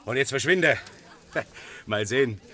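An actor playing a gruff, gloating goose dealer in a 1950s radio drama, mocking and quick to anger. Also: male voice, mid-range voice, expressive, pushed and bright. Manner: blustering, mocking, quick-tempered. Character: gruff, gloating market goose dealer, middle-aged man.

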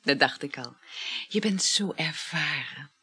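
A woman's voice: deep voice, expressive, unhurried, sharp tone, breathy edge, heavily acted. An actress in a radio play, playing a flirtatious, gushing woman who juggles several lovers and chats effusively with sighing exclamations. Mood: coaxing, flirtatious. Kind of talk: naturalistic radio play acting.

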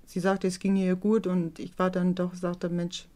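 Mellow-voiced middle-aged woman, reflective. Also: fairly low pitch, monotone, measured pace. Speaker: interviewee, mother of a teenage daughter. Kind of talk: earnest, candid documentary interview.

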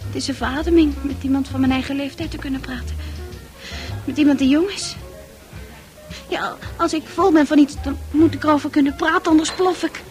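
A woman's voice: high voice, fairly even intonation, a little fast, a little mellow, faint music behind, close to an everyday voice. An actress in a Dutch radio play playing a candid, troubled young woman who talks freely and confides with emphasis. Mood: relieved, confiding.

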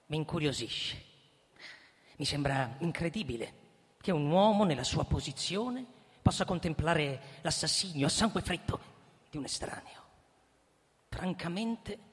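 An actor playing a cold, pompous retired army chief, an older man speaking formally and deliberately in a radio drama. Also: on the high side, animated. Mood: indignant, puzzled. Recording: echoey hall, music underneath. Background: music.

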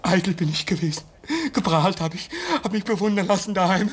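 A man's voice: high-pitched, steady tone, a little fast, breathy edge. An actor playing a desperate, vain young man in a German radio drama, pleading and overwrought in a theatrical mid-century style. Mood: pleading, despairing. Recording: noisy old radio drama recording.

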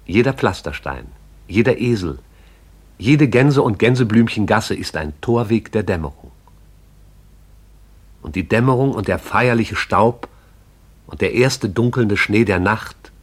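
An actor with a husky voice as the poetic, all-seeing narrator of a radio play. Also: male voice, lowish voice, animated, a little slow, pauses often. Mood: lyrical, wry.

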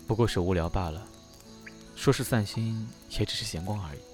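A man's voice: lowish voice, a little fast, a little mellow, faint music behind, natural voice. An actor playing an aloof, sardonic young nonhuman man in a costume audio drama, with a detached way of talking. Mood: cool, guarded.

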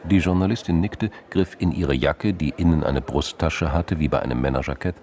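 A man with a gravelly voice narrating a scripted crime drama. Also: very deep voice, normal speed, a little mellow.

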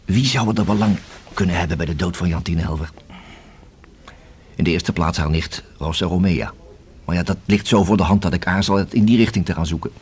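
An actor in a radio play as a methodical police inspector who reasons and questions in a formal, measured, deliberate way. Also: male voice, sits low, darkish tone, natural voice. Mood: pensive, speculating. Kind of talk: radio drama acting. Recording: hissy old radio play recording.

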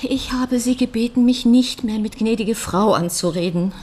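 An actress playing a middle-aged, newly widowed lawyer's wife in a German radio crime drama, frank, dry and impatient. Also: female voice, on the high side, moderate pace, dark tone, a little hiss or hum, lightly acted. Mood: irritated.

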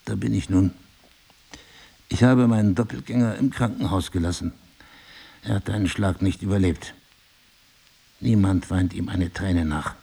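An actor playing a remorseful middle-aged husband in an audio drama, speaking in a husky, gravelly, deliberate and intimate way. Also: male voice, low voice, mellow, exaggerated voice. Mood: earnest confession. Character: remorseful, pleading husband, brooding and passionate.

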